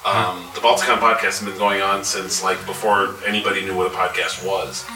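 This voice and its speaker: A man speaking casually as a convention panelist, in a halting, informal way. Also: low-pitched, flat delivery. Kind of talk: casual panel talk with jokes. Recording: echoey room, distant mic.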